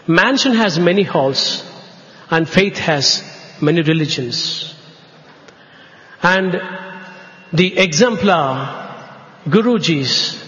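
A man from India with an Indian English accent, a native Telugu speaker, giving a measured devotional talk at a spiritual gathering. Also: on the high side, a bit bright. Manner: slow, measured, drawn-out phrases. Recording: echoey hall, dull lo-fi sound.

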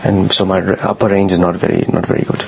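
Bassy, gravelly man telling a story. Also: flat delivery. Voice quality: bassy, low voice. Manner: earnest, halting, with many repeated words. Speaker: guest telling a personal story. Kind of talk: casual storytelling. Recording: dull lo-fi sound with faint noise.

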